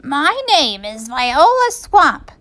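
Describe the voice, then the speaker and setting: A woman's voice: sits high, sing-song, takes it slowly, sharp tone, pitched above the performer's own voice, heavily acted. A woman voicing a stern, witchy substitute teacher with a scratchy, commanding, menacing delivery for a children's storybook. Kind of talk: children's storybook read-aloud.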